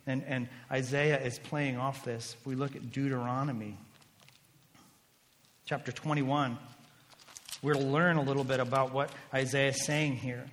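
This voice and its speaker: A man preaching a sermon as a pastor, emphatic, swinging between loud declarations and hushed, intense lines. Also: medium pitch, steady tone, normal speed, pauses often, slight background noise. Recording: long hall reverb.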